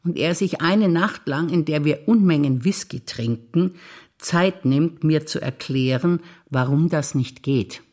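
An older German woman, a stage actor, reciting her personal memories calmly and wistfully in a theatre piece. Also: deep voice, a little slow.

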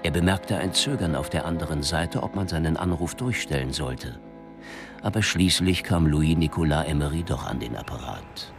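Sober storyteller, extremely low voice, scripted crime narration, music underneath. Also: male voice, animated, moderate pace, full character voice. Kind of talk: scripted radio drama narration. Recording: clear music behind narration.

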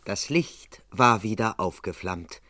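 An actor playing the composed first-person narrator of a vintage radio crime play, reading scripted narration. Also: male voice, lowish voice, expressive, takes it slowly, sharp tone, dry recording, studio quality, full character voice.

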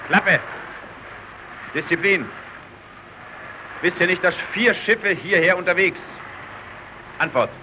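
Sarcastic polar lieutenant, fairly high voice, bitter, barking, radio drama, noisy recording. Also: male voice, takes it slowly, long pauses. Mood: furious, commanding. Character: bitter, sarcastic stranded Italian lieutenant, quick-tempered. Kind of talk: German radio play acting.

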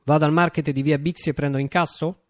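A man reciting a theatrical monologue for radio, declaiming with dramatic pauses and emphatic phrasing. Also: a little high, fairly even intonation, no echo, studio quality.

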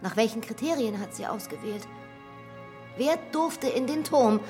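A woman's voice: fairly high pitch, expressive, measured pace, warm tone, faint music behind. An actress playing an intense, inquisitive noblewoman in a radio drama. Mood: indignant, probing. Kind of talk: German radio drama acting.

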